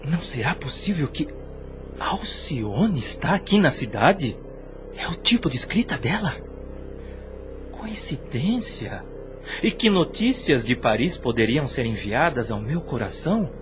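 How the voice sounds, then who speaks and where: A man's voice: mid-range voice, very animated, a little slow, music in the background. An actor playing an emotive young man in love in an audio drama, with a Brazilian accent and formal old-fashioned phrasing. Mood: puzzled, hopeful. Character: wounded, reproachful young man in love, former priest. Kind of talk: radio drama acting.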